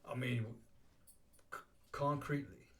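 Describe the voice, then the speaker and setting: A man's voice: mid-range voice, steady tone, takes it slowly. A man in his sixties talks through goal-setting as a podcast host. His talk is casual and full of restarts and repeated words, and he keeps checking in with "okay?". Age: 60s. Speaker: life coach and podcast host.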